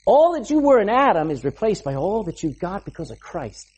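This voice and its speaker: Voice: medium pitch, big pitch swings, a little fast, darkish tone, breathy edge. A preacher giving an emphatic sermon, asking the listeners rhetorical questions and punctuating points with "amen".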